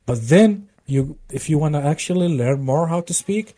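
A man with a mellow, darker tone talks easily as a podcast guest. He laughs along with the hosts and tells his stories in fluent second-language English. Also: a little high, expressive, normal speed, no room sound. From Belgium. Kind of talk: casual podcast chat.

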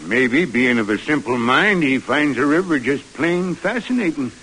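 An actor playing a laconic, tolerant frontier trading-post keeper in an old radio western. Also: male voice, mid-range voice, lively intonation, moderate pace, fairly bright tone, a little hiss or hum, close to an everyday voice. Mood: musing. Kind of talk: old-time radio drama acting. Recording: old radio recording, faint music.

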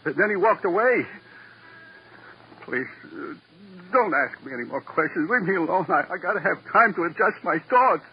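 A man's voice: high-pitched, lively intonation, moderate pace, faint music behind. An actor playing a frightened, defensive salesman under police questioning in a vintage radio crime drama, agitated and stammering his protests. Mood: frightened, pleading. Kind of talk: 1940s-50s radio crime drama acting. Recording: noisy, muffled old radio broadcast.

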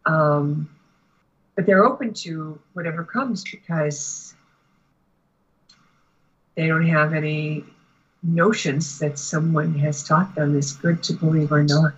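An American woman talking conversationally as a guest spiritual teacher, explaining and telling anecdotes in a measured, deliberate way. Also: deep voice, steady tone, slightly rough, highs cut off. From United States. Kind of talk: conversational interview talk with anecdotes.

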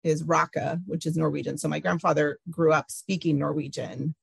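A middle-aged woman being interviewed, talking hesitantly with many pauses and fillers. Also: low voice, steady tone, gravelly, slightly rough, dry recording, clean studio sound. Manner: hesitant, many ums and restarts. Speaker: interview guest. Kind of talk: casual interview, recalling family history.

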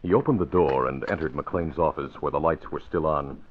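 A man's voice: rumbling low, a little fast, slight background noise. A man reading scripted lines as a narrator and announcer in 1940s radio style. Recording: narrow lo-fi old radio recording.

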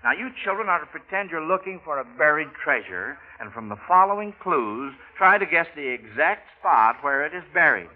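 High-voiced American male quiz host, chatty and encouraging, 1940s radio style, narrow vintage broadcast sound. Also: big pitch swings, moderate pace, few pauses. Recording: old narrow-band radio recording.

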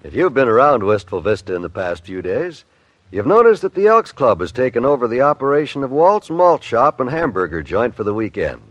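A man's voice: mid-range voice, sing-song, a little fast, dry recording, lo-fi sound. A man announcing an old-time radio comedy show in a 1950s broadcast style.

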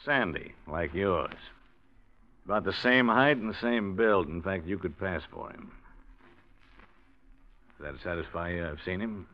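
An actor playing a cool, knowing male crook who bargains calmly, in a muffled old radio drama. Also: low-pitched, measured pace, long pauses. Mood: menacing. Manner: cool, knowing, mildly menacing. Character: smooth, low-voiced male crook. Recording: muffled 1940s radio recording.

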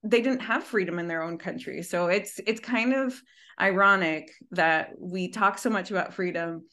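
A woman's voice: fairly low pitch, normal speed, bright, forceful tone. A woman co-hosting a podcast, talking in an earnest, reflective way. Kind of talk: podcast conversation.

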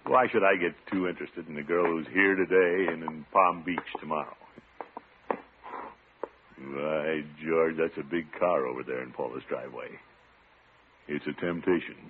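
An actor playing a pompous, blustery middle-aged American water commissioner in a classic radio comedy, with a theatrical manner. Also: male voice, a bass voice, steady tone, unhurried, pauses often. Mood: musing. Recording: tinny, noisy old radio recording.

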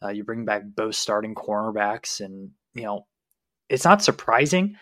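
A man's voice: fairly low pitch, animated, normal speed, sharp tone, dry recording. A man co-hosting a sports podcast, giving casual, conversational analysis.

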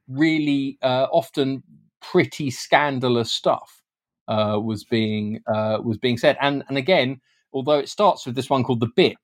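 British man explaining, halting and informal. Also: medium pitch. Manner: hesitant, often repeating words mid-sentence. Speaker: guest author and historian. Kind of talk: informal explanatory interview talk.

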